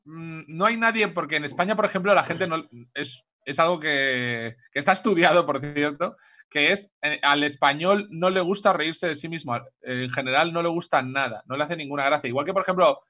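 A male comedy host with an accent from Spain. His voice is fairly high and very bright, with a forceful edge, as he chats casually over a video call from home. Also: moderate pace. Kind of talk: casual chat.

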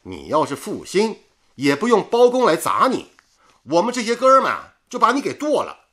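An actor playing a chatty, blunt and loyal radio engineer in a radio drama, exclamatory and teasing. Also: male voice, sits high, huge pitch swings, normal speed, a bit bright, full character voice.

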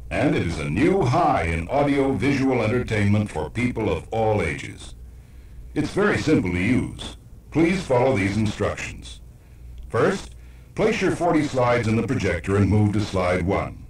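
A man announcing in a scripted, instructional introduction to a recorded program. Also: lowish voice. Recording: very echoey cassette playback from a distance.

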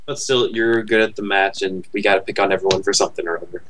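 A man co-hosting a casual podcast on a close headset mic, rambling and thinking out loud. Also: low-pitched, fairly even intonation, fast talker, a little hiss or hum, rough recording. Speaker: podcast co-host.

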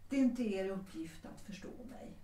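Woman as middle-aged genteel widow, audio drama, proud, insistent, mellow tone, echoey room. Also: medium pitch, expressive, close to an everyday voice. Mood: firm. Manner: formal, dignified, insistent. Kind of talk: period audio drama acting, 1930s setting. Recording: echoey room, slightly distant.

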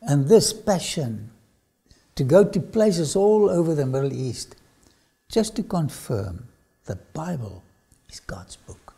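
An older man with an Afrikaans accent, a lecturer telling his own story to camera in a studio, swinging from loud phrases to whispers. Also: on the high side, very animated, slow pace, gravelly, breathy edge. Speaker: archaeology lecturer. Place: studio with dark backdrop.

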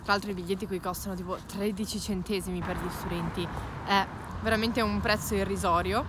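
A woman in her twenties chatting excitedly as she vlogs outdoors on city streets, holding her phone at arm's length. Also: medium pitch, fairly quick, background noise. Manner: chatty, excited, mixes English words. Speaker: vlogger. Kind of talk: casual travel vlog. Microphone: phone held at arm's length.

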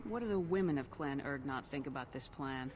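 Game casting: confident military commander, direct, dry and wry, long reverb and faint noise around the voice. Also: female voice, rumbling low, expressive, fairly quick. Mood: composed, wry. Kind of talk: video game dialogue.

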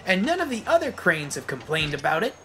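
A man voicing a cheeky young twin engine in a children's story, protesting in a squeaky, hard-done-by way. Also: huge pitch swings, brisk, noisy background, exaggerated voice. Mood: indignant.

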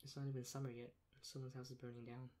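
A young man in a soft-spoken ASMR role play as a rude emergency dispatcher, talking in a deadpan, sarcastic and dismissive way. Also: medium pitch, fast. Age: young adult. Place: small plain room indoors. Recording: clear background noise, keyboard clicks.

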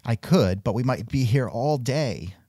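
Sardonic male comedy host, animated intonation, dry close mic. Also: mid-range voice, measured pace, husky, no room sound. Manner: sardonic, exasperated. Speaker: podcast host. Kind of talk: scripted comedic interview.

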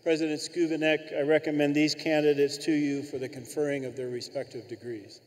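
A man's voice: a little high, flat delivery, a little fast, a little mellow. An older man giving a formal scripted ceremony address as a university official at a podium microphone in a large arena. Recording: echoey arena hall with long reverb.

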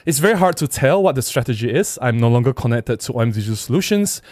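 A middle-aged man with a Malaysian accent hosts a casual livestream at a close desk microphone. His voice sits at a medium pitch, and the sound is dry with very little room. He talks conversationally, with emphasis, as he reads comments and responds to them. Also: expressive, quick pace, a little mellow, dry recording. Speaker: livestream host. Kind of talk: casual livestream chat, reading out viewer comments and replying. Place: small indoor room at a desk. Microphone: close desk microphone with windscreen.

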